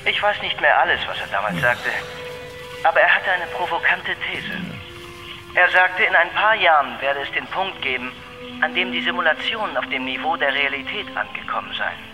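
An actor playing a grave informant giving a measured, deliberate monologue on a dictaphone tape in a German radio crime drama. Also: male voice, a little high, lively intonation, bright, forceful tone, soft background music, low-quality recording. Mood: grave, accusing. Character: grave male informant leaving a posthumous taped confession. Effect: dictaphone tape recording.

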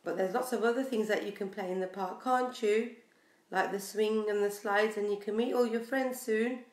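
A woman in her forties reading a picture book aloud to young children in an expressive, sing-song way. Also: mid-range voice, moderate pace. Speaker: preschool storyteller. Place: small bare room indoors. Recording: echoey small room.

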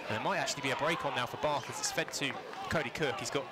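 A man giving live football commentary from a stadium stand, conversational and halting, with plenty of fillers. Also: mid-range voice. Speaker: match commentator. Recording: loud crowd and outdoor noise.

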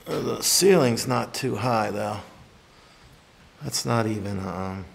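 A man's voice: on the low side, gravelly. A middle-aged man with a Maine accent, casually musing as he films a tour of an unfinished cabin on his phone. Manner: musing, unhurried, trailing off. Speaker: vlogger touring a cabin. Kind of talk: casual walk-through commentary. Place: outdoors in autumn woods and inside an unfinished wooden cabin. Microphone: phone camera at arm's length.

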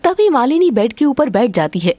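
कहानी सुनाती महिला वाचक. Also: female voice, fairly high pitch, big pitch swings, normal speed, no room sound, muffled recording. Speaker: narrator. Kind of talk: scripted story narration.

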